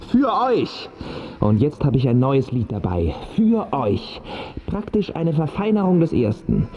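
An actor playing a needy, restless male pop singer with a pleading, questioning manner in a radio play. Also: medium pitch. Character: Bruno, needy, agitated male pop singer chasing success and love. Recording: muffled radio play, music underneath.

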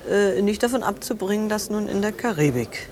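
An actress playing a bereaved sister who gropes for words in a creaky voice, in a naturalistic radio drama. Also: female voice, medium pitch, lively intonation, background noise, lightly acted. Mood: explanatory. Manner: halting, searching for words. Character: shaken woman, bereaved sister of a victim.

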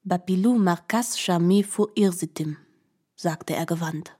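A woman narrating scripted literary prose for radio in a measured, formal storytelling manner. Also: lowish voice, warm tone.

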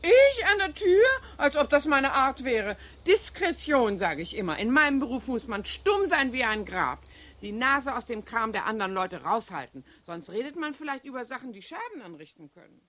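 A woman's voice: fairly high pitch, very animated, normal speed, fairly bright tone. An actress in a 1970s German radio play playing a chatty, falsely naive cleaning woman who feigns discretion. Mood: indignant, prim. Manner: chatty, mock-innocent.

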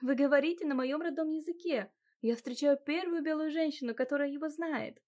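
A woman voicing an eager young maid who exclaims excitedly. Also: sits high, a little fast, voice pushed up, close to an everyday voice. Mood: delighted. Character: eager young female maid, warm and chatty.

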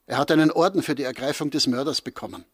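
A man's voice: a little high, quick pace, no room sound, clean studio sound, close to an everyday voice. An actor playing an eager, gossipy village townsman with a chatty manner in a radio drama. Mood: eager, confiding.

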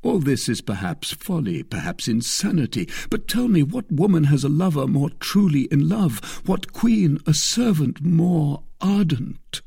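A man's voice: fairly high pitch, big pitch swings, measured pace, vocal fry, few pauses, dark tone, slightly rough, no room sound, clean studio sound, voice pushed up, heavily acted. A man voicing an ardent lovestruck nobleman, declaiming passionately in a dramatic audiobook reading. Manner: impassioned, declamatory.

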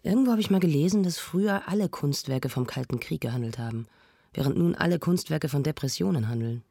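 Husky, insistent culture show discussant. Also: mid-range voice, lively intonation, fast, dark tone, dry recording, studio quality. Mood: probing, insistent. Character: searching, anguished culture programme discussant, intellectual and insistent. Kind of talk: radio drama played as studio discussion.

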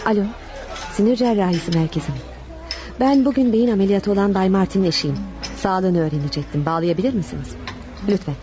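A woman's voice: medium pitch, brisk, lo-fi sound, lightly acted. A woman acting in a radio play as a seductive, somewhat impatient unfaithful wife with a coaxing manner. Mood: polite. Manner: coaxing, flirtatious.

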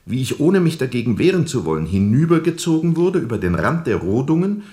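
An actor playing a brooding steamboat captain in a radio play, telling his story in a reflective, deliberate, formal and disillusioned way. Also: medium pitch, very animated, mellow, lightly acted. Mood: troubled, introspective. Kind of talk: radio play narration, literary monologue.

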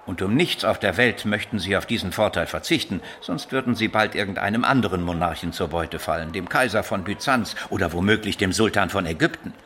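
An actor playing a shrewd, learned older bishop in a radio drama, speaking in a measured, didactic way. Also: male voice, low voice, a bit bright, a little hiss or hum. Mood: explaining.

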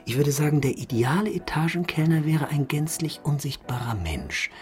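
An actor playing a sly, philosophising hotel floor waiter in a radio drama, insinuating and theatrical, with whispery asides. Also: male voice, fairly high pitch, animated, moderate pace, lightly acted. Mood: grandiose, sly.

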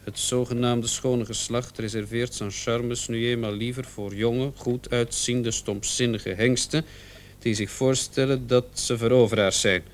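Man acting a measured letter-reader, mid-pitched. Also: fairly even intonation, a little hiss or hum, natural voice. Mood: measured, scornful. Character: composed male policeman reading a killer's confession aloud. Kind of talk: radio drama acting, reading a letter aloud.

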